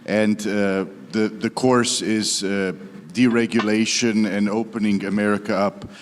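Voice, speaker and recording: Low-voiced man, halting panel remarks. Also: flat delivery, a little hiss or hum. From Croatia. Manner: halting, with frequent "uh" and restarts, but blunt and opinionated. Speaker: politician on a panel. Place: conference hall. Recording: long reverb of a conference hall.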